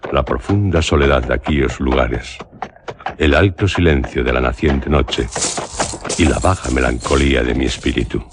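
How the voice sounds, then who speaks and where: Melancholic traveller-narrator, bass register, Castilian accent, grave measured literary delivery, radio play, clearly noisy recording. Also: male voice. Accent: Castilian Spanish. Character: solemn, melancholic male traveller recounting an eerie journey.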